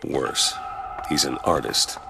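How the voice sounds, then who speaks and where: An actor playing a tough, world-weary private investigator in a noir video game, gravelly, terse and blunt. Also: male voice, rumbling low, slow pace, music in the background, full character voice. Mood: gruff, wary. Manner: terse, blunt, hard-boiled. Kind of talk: hard-boiled noir game acting.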